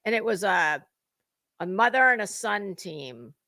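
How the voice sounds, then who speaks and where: A woman hosting casual, opinionated gossip commentary, rambling and halting, with emphatic points and some mild swearing. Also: mid-range voice, lively intonation, sharp tone, no echo, clean studio sound. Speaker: host of a gossip commentary livestream.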